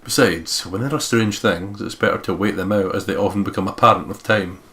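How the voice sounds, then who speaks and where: Low-voiced man doing a let's play. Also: brisk, pushed and bright. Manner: swears casually, breaks off into joking asides. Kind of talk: reading visual novel narration aloud with jokey commentary.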